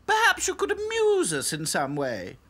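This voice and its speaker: A woman's voice: huge pitch swings, normal speed, a bit bright, full character voice. A woman voicing haughty, spoiled princess dolls in a snooty manner for a storybook reading. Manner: shrill and snooty.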